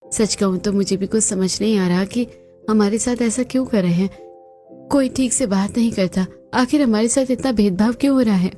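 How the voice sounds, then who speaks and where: A woman's voice: mid-range voice, normal speed, dark tone, close to an everyday voice. Meek young daughter-in-law, played by a woman, music behind. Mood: troubled. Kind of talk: Hindi cartoon family drama acting.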